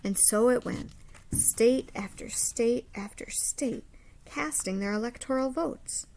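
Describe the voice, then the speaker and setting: Higher-pitched woman reading aloud, creaky edges. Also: whispered. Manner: expressive, dramatic pauses and emphasis for children. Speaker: teacher reading a picture book aloud to children. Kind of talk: animated storybook read-aloud with simple asides to young children.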